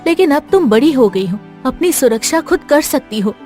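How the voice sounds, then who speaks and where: Mellow motherly cartoon cow, played by a woman. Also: sits high, animated, normal speed, soft background music. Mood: caring, cautioning. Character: gentle protective motherly cow. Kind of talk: Hindi cartoon moral story voice acting.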